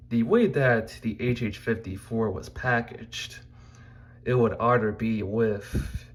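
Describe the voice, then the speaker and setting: A man presenting his collection in an informal, unscripted home video, speaking in halting, meandering fragments into a phone camera. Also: on the low side. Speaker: YouTuber presenting own collection. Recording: clear background noise and room ambience.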